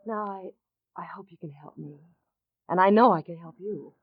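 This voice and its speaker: A woman's voice: lowish voice, normal speed, dry recording. An actress playing a tough, frank, plucky American woman in an old radio drama. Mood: conspiratorial. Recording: dull old radio recording.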